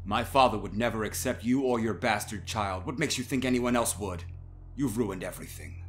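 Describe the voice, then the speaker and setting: A man's voice: lowish voice, moderate pace, soft background music, close to an everyday voice. A man playing a cold, cruel young mayor's son with a harsh, scornful manner in a dramatized horror tale. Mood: cold fury. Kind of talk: dramatized horror story dialogue.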